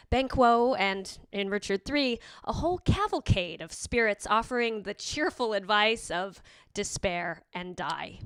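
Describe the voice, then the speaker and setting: A woman host giving a scripted, theatrical introduction to spooky tales in a measured way. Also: fairly high pitch, a bit bright, smooth, no room sound. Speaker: host, announcer. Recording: music behind, dry sound. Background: music.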